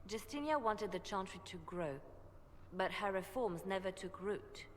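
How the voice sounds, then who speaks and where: An actress playing an idealistic woman spymaster who argues for reform with measured, persuasive conviction in a video game. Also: medium pitch, lightly acted. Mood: impassioned, persuasive. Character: idealistic, reform-minded woman spymaster seeking high church office. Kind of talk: scripted video game dialogue. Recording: long hall reverb, faint noise and ambience.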